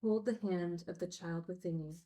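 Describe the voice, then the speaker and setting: An actress playing a gentle, thoughtful, earnest woman in a screenplay table read. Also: on the low side, moderate pace, lightly acted.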